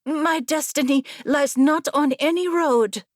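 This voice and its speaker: A proud young noblewoman rider, played by a woman narrator, pitched up and full of brash defiance. She speaks boldly and challengingly, scornful of caution, as in an adventure storybook. The recording is dry and close. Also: measured pace, no echo. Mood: exuberant. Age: young adult.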